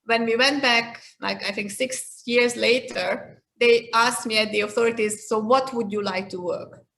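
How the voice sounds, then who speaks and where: A woman's voice: fairly high pitch, fairly even intonation, normal speed, vocal fry, fairly bright tone, poor audio. A woman in her fifties from Hungary with a Hungarian accent, answering interview questions in a reflective, conversational way. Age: 50s. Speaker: interview guest, leadership expert.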